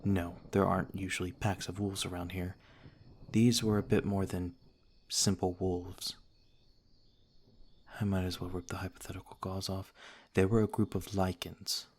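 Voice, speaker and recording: Noble vampire lord, played by a male actor, ASMR roleplay. Low voice, little inflection, polite, careful, measured. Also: little pitch movement, slightly rough. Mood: calm, guarded courtesy. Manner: formal, gentle, measured.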